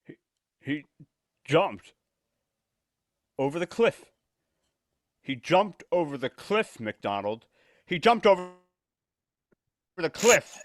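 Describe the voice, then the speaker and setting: A man's voice: on the high side, sing-song, slow, pauses often, no room sound, studio quality. A man playing a shaken, dread-struck character in old-time radio suspense style, stammering and repeating himself. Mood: horrified. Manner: stammering, repetitive. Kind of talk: 1940s radio suspense acting.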